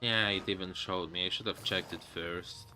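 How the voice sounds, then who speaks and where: Male streamer, lowish bright voice, slow rambling casual commentary, faint music behind. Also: fairly even intonation, slight background noise. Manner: hesitant, rambling, thinking aloud. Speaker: game streamer. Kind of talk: casual live gaming commentary.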